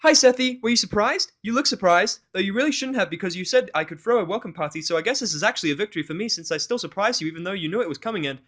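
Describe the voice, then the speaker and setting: A man's voice: high voice, expressive, racing, few pauses, a bit bright, voice pushed up. A man voicing a hyperactive, chattering, cheerful young female cartoon pony in a fan audio drama, gushing in a non-stop stream. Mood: bubbly, excited.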